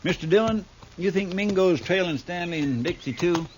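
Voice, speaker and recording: Old radio western w slight hiss, guy doing a highish american sidekick, folksy, earnest, real deferential. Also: normal speed, close to an everyday voice. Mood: doubtful. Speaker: actor. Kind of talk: 1950s radio drama acting. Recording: faintly noisy 1950s radio recording.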